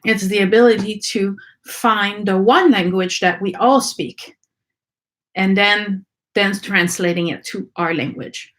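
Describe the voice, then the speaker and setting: A woman's voice: mid-range voice, fairly even intonation, a little slow, a bit bright. Woman teaching, hesitant. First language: French. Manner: searching, many ums and restarts. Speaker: energy work teacher. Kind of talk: unscripted teaching talk.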